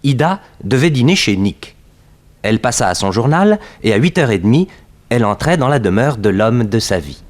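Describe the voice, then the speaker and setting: Actor as animated male detective-serial storyteller. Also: medium pitch, moderate pace, natural voice. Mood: measured storytelling. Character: detective storyteller recounting his uncle's adventures. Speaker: narrator. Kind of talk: scripted narration in 1960s French radio drama.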